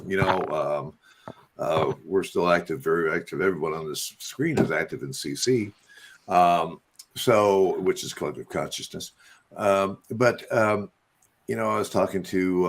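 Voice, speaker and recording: A man hosting a talk show, speaking earnestly about faith in a halting, repetitive way. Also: sits low, slightly rough. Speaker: radio show host. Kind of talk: casual religious talk.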